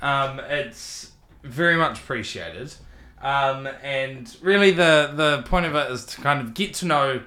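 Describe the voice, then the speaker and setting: Male interviewer, friendly and hesitant, bright tone; roomy echo. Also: medium pitch, a little slow, hardly any pauses. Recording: echoey room.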